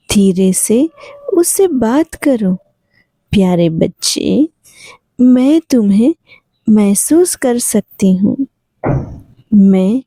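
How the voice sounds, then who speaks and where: A woman guiding a sleep meditation in a soothing, gentle manner, leaving long pauses between short phrases. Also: mid-range voice, dry recording, rough recording. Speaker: guided meditation instructor. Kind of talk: guided sleep meditation, yoga nidra narration.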